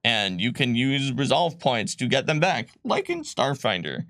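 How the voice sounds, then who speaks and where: A man walks through tabletop rule options on a live stream in a bright, forward voice. He talks loosely and chattily, with plenty of fillers and the odd laugh, close to a dry microphone. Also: medium pitch, very animated, unhurried, no room sound. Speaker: game designer presenting a rulebook on a live stream. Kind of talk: casual explanatory stream chat.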